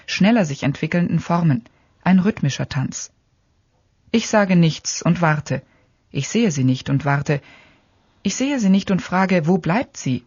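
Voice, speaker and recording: A mid-pitched voice reads an experimental essay in a calm, matter-of-fact way. The sound is dry and close, with no room around it. The audio is dull and lo-fi. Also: breathy edge, no room sound. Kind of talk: experimental radio essay narration.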